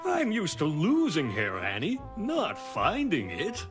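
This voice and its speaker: An actor playing a bumbling, excitable grown man in a children's cartoon, with an exaggerated delivery. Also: mid-range voice, slow, music in the background. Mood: mild, dry.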